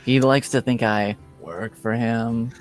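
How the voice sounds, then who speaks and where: Halting man running a tabletop game. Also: mid-range voice, steady tone, faint music behind. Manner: halting, many fillers. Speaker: game master.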